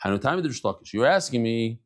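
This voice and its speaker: A middle-aged man teaching a casual daily Talmud class in a lively, chatty way, mixing in Hebrew and Yiddish terms. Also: mid-range voice, fast talker.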